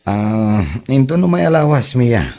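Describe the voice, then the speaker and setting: A man's voice: medium pitch, lively intonation, unhurried, dark tone. A man playing an agreeable young suitor in a comedy drama.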